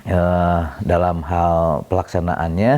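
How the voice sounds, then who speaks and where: A middle-aged man from Bandung, explaining council work in an interview, pausing often with fillers and self-corrections. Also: low-pitched, monotone, darkish tone. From Bandung, Indonesia. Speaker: city council member, interview guest. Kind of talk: relaxed explanatory interview talk.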